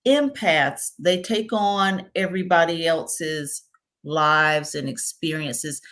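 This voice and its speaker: A woman's voice: on the low side, a bit bright. A woman hosting a podcast interview, attentive and asking questions, with frequent murmurs of agreement. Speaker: podcast host.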